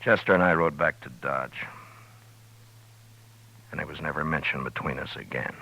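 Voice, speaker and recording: An American actor playing a world-weary, steady frontier marshal in a 1950s radio western, speaking in a grave, laconic way. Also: male voice, very deep voice, normal speed, gravelly, exaggerated voice, low-quality recording. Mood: somber. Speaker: lead actor and narrator. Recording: vintage radio recording with music.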